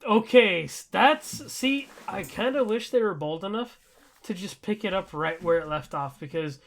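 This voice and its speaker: Young male podcast co-host, slightly high and very creaky, rambling enthusiastically in casual conversation. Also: expressive, normal speed. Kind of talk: casual chat about shows and anime.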